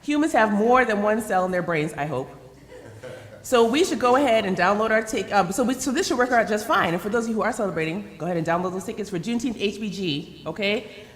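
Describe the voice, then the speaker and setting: Lady hosting live, super lively voice, lots of teasing banter, big roomy hall sound. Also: mid-range voice, fast. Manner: playful, teasing, talks back and forth with the crowd. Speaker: host. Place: event space with a live audience. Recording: echoey hall.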